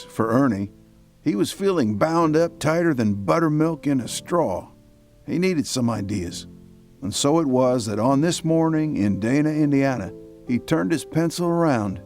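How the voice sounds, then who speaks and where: A man's voice: mid-range voice, a little mellow. A man narrating a story in a folksy way, with homespun sayings. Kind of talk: scripted narration.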